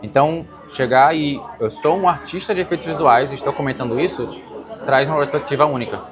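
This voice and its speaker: A man's voice: fairly high pitch, fairly quick, crowd noise. A man with a Brazilian accent talking casually as an interviewer, explaining ideas and often checking that he is understood. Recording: music playing behind the voice. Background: music.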